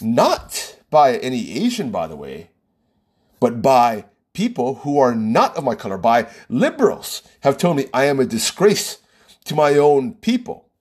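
A man's voice: mid-range voice, wildly swooping pitch, measured pace, darkish tone, breathy edge. A man with an American accent hosting a solo podcast, talking emphatically and rhetorically, often tossing in "right?". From United States. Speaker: podcast host. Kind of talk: opinionated solo podcast monologue.

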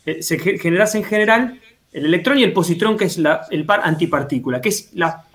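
A man with a notably high voice and an Argentine accent. He explains things casually, in a didactic way, pausing on short tag questions to check he is being followed. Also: expressive, fairly bright tone. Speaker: panelist explaining science.

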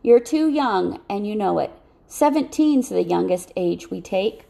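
Casting a woman as a courteous army captain for an audiobook, kind, measured, firm, some creak in the voice. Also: mid-range voice, animated, mellow. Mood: kindly firm. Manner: measured, reassuring. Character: kind, courteous, firm male cavalry officer.

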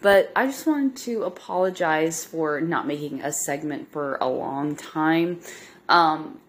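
Hoarse stuffy-nosed midwest mom type, kinda low voice, just rambling at her podcast folks. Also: slow. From Nebraska, US Midwest. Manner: rambling with many ums. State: hoarse, congested. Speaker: podcast host. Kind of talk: casual update monologue.

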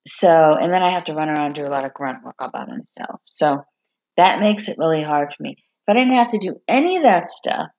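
A woman who hosts a podcast and writes songs, talking openly and reflectively at home while hoarse from losing her voice. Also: low-pitched, lively intonation, brisk, darkish tone, muffled recording. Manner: open, reflective, confiding. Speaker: podcast host, singer-songwriter. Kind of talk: candid personal monologue. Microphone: computer recording.

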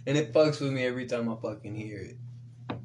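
A man's voice: mid-range voice, fairly quick, darkish tone, a little hiss or hum. A man co-hosting a casual podcast, answering in short, dry, profane remarks. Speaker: podcast co-host. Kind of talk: casual crude chat.

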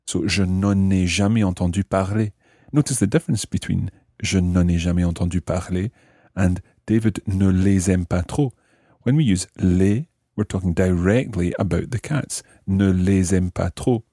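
Male teacher-host, very low and mellow, methodical explainer for a language lesson, very dry sound. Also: normal speed, no echo. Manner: methodical, spells out and repeats phrases.

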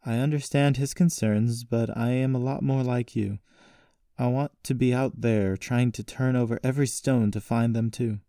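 Audiobook role: reassuring, earnest uncle, acted by a man, pitch nudged up, far from the mic. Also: fairly even intonation, normal speed, dark tone, studio quality, close to an everyday voice. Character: gentle, protective, reassuring uncle. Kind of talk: audiobook character dialogue. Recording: roomy echo, distant mic.

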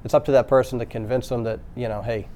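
A man's voice: mid-range voice, fairly even intonation, quick pace, dark tone. Man, panel guest, earnest and candid, fillers and tag questions, faint background hiss. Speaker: construction company president. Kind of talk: panel discussion.

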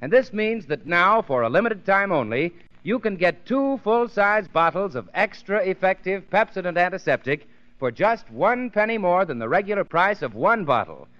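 A man's voice: high-pitched, big pitch swings, normal speed. A man working as announcer and sidekick on a 1930s radio comedy show, heard on a muffled old recording. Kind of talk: 1930s radio sponsor announcing and scripted banter.

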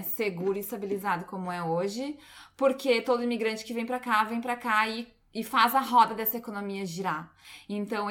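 Gaúcha woman, bright sharp voice, chatty, argues her point at length. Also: medium pitch. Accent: Gaúcho Brazilian Portuguese. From Pelotas, southern Brazil. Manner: opinionated, explains at length. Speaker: podcast guest. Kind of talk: casual discussion.

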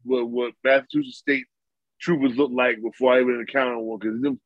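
A man with a New York accent, telling stories in animated, slangy casual chat on a podcast. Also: medium pitch, a little fast, dry recording. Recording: dry, muffled lo-fi mic.

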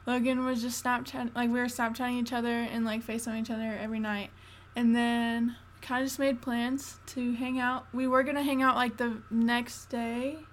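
A young woman co-hosting a relaxed podcast. Her voice sits a little high. She tells her side of the story in an easygoing way, often breaking into giggles. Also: moderate pace. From Florida, US. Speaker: podcast co-host. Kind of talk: casual chat.

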